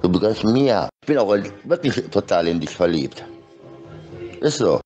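A middle-aged man rambling casually in halting, fragmented sentences, repeating words, recorded on a phone held close to his face. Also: lowish voice, big pitch swings, a little mellow, faint music behind.

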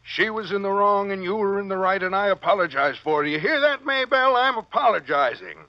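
A middle-aged American head of household, flustered and pleading. His fairly high voice swings widely as he wheedles and stammers. The sound is a dull, dry old radio recording. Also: lively intonation, a little fast, dry recording. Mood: desperate pleading. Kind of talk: 1940s radio acting. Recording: muffled vintage radio recording.

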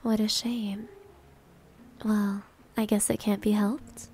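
A woman playing a proud, easily flustered maid with a hidden soft side in an ASMR roleplay, breathy and often whispering. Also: medium pitch, level tone, slow, slight background noise, lightly acted. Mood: coy, insistent. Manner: coy, grudging, flustered.

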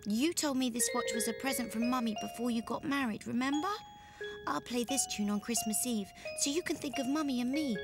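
A woman's voice: fairly high pitch, a little fast, music in the background, lightly acted. A woman playing a sweet, devoted, childlike young girl in a video game cutscene, speaking earnestly. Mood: earnest, hopeful.

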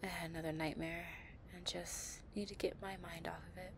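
A woman's voice: lowish voice, little pitch movement, brisk, gravelly, crowd noise. A woman playing a tough but tender, anxious delinquent girlfriend in an intimate roleplay audio, murmuring breathily. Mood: apologetic, weary.

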